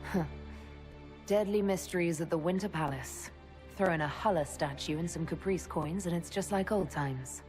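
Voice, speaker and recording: Woman acting confident game heroine in charge, dry and wry, lowish voice, faint music. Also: moderate pace, natural voice. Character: self-assured, wry female military leader. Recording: soft music behind.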